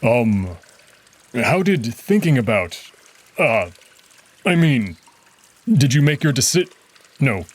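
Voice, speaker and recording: A man voicing a gentle, devoted male wolf nobleman who speaks softly and formally. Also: medium pitch, very animated, takes it slowly, long pauses. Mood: flustered. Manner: soft-spoken, caring, formal.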